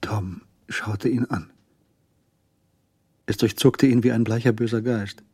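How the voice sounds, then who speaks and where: A man narrating a crime radio drama in a sober, literary manner with measured, clipped phrasing. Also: medium pitch, fairly even intonation, darkish tone, breathy edge, no room sound. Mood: reflective.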